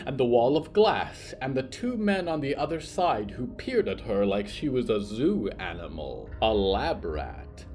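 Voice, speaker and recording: A man narrating a scripted story in a deliberate, literary and theatrical manner, as for a fiction podcast. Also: mid-range voice, a little mellow.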